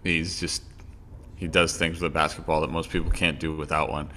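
A man's voice: rumbling low, level tone, a little fast, pushed and bright, a little hiss or hum. A man co-hosting a casual sports podcast, opinionated and rambling with restarts. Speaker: podcast co-host.

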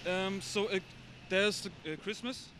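Halting Austrian man with German accent. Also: high voice, flat delivery, background noise. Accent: German-speaking Austrian. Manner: halting, searching for English words. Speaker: interviewee on the street. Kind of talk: casual street interview. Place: outdoors on a city street.

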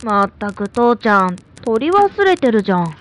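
A woman playing an expressive, homely member of a retro household in a radio drama, speaking colloquially. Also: mid-range voice, moderate pace, natural voice. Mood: perky. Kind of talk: Japanese radio drama acting.

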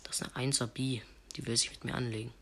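A man with a cold giving casual, slangy running commentary on a mobile game he is playing, sometimes dropping to hushed asides. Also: mid-range voice, level tone, fast talker, a little hiss or hum. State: has a cold. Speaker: gaming video host. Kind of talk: live gameplay commentary.

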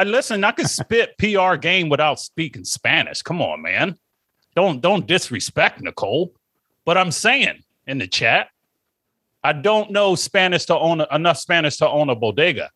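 Bright, jokey podcast co-host man. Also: on the high side, very animated, moderate pace, slightly rough, no room sound, studio quality. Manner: profane, jokey, does silly voice bits. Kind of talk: casual chat.

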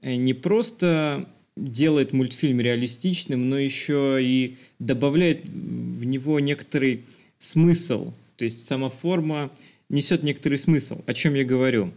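A man's voice: mid-range voice, a little slow, darkish tone. A man co-hosting a podcast, discussing film in a thoughtful, explanatory conversational way. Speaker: podcast co-host.

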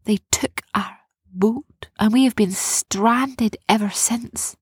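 A woman voicing a fierce but warm-hearted female pirate leader in a children's story, with colloquial turns of speech. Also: fairly high pitch, slow, slightly rough, no room sound, clean studio sound, voice pushed up, heavily acted. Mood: grave, sorrowful. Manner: colloquial, says yous and lassie.

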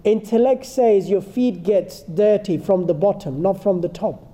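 A man's voice: sits high, unhurried, slight background noise. A man giving an earnest religious lecture to a congregation, explaining points step by step and dropping in Arabic phrases. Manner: calls himself soft-spoken but firm today. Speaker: Islamic teacher.

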